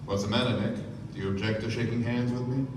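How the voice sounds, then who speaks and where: A man's voice: mid-range voice, steady tone, fast talker, lightly acted. A man playing an arrogant, defiant wealthy man in a staged radio play, blunt and self-justifying. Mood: affronted. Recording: echoey hall, distant mic, noisy.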